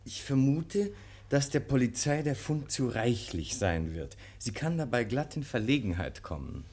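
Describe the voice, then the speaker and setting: A suave lawyer and sceptic in a radio play. His male voice sits at a medium pitch, and his delivery is ironic and teasing. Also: sing-song, measured pace, darkish tone, breathy edge, full character voice. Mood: sly gossip. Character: wry, urbane male legal counsellor, needling sceptic. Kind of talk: German radio play acting.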